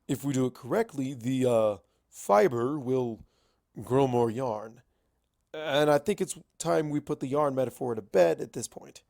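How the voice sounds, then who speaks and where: A narrator voicing a gruff, cynical old male wizard pony who lectures bluntly and emphatically in a storybook reading. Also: medium pitch, animated, measured pace, a little mellow, no room sound, clean studio sound. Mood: explaining.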